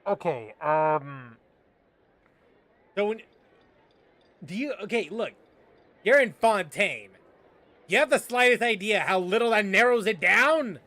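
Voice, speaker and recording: A man's voice: high voice, lively intonation, slow, long pauses, sharp tone. A man giving casual gameplay commentary as a streamer, with wry, sarcastic asides. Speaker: gaming streamer. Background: game music.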